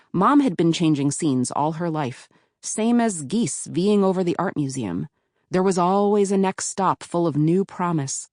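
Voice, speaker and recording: A woman from Philadelphia reading her own memoir in a calm, measured way. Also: low voice, no room sound, clean studio sound. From Philadelphia, USA. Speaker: author narrating her memoir. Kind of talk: audiobook memoir narration.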